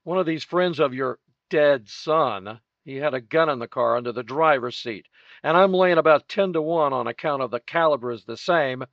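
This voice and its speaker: Unruffled detective lieutenant male actor, vintage radio crime style, dry wit, tough, slightly high register. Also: dry recording, lo-fi sound. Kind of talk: 1950s radio crime drama acting.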